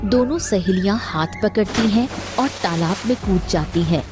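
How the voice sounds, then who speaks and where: A woman's voice: medium pitch, normal speed, over music. A woman narrating a scripted cartoon story as its storyteller.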